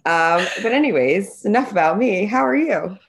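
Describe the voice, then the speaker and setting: A woman's voice: medium pitch, animated, normal speed, breathy edge. A young woman co-hosting a podcast, talking casually and rambling with lots of "like.". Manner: rambling, many "like" fillers, speaks in bursts. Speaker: podcast co-host. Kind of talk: casual chat.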